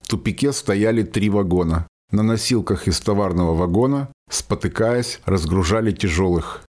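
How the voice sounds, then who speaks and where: A man with a fairly low voice narrates a war story. The delivery is scripted storytelling for a radio play. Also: moderate pace, darkish tone.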